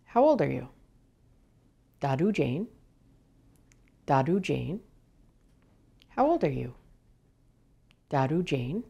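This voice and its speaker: Teacher: woman in her thirties, low and mellow voice, slow and measured drills, lapel mic indoors. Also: vocal fry. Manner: deliberate, measured, repeating each phrase for learners. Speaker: language teacher. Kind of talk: slow, clear language lesson with repetition drills. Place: indoors, wood-panelled room.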